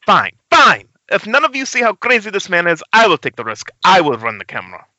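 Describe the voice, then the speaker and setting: Man doing a fussy stunt coordinator, indignant, very bright, wildly animated, lo-fi call. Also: sits high, brisk, exaggerated voice. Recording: dull lo-fi internet call.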